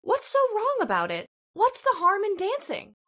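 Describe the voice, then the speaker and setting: An actress playing a lively, outspoken young daughter in a radio drama. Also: female voice, very high voice, normal speed, heavily acted. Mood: defiant. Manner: bright, outspoken.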